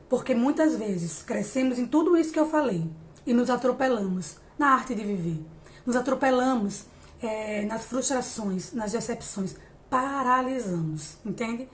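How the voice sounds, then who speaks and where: A woman in her late thirties giving an encouraging live-stream talk on emotional growth, pausing often and restarting her sentences. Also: on the high side, slight background noise. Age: 30s. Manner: warm, encouraging, many hesitations and restarts. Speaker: psychologist hosting a live stream.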